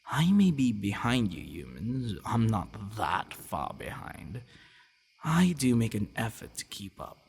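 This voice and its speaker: Gravelly, low-voiced gentle vampire boyfriend, acted by a man. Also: big pitch swings, slight background noise, full character voice. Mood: eager, defensive. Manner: halting, soft-spoken, often dropping to breathy murmurs. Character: gentle, devoted, bashful male vampire boyfriend. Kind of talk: ASMR boyfriend roleplay, intimate acting.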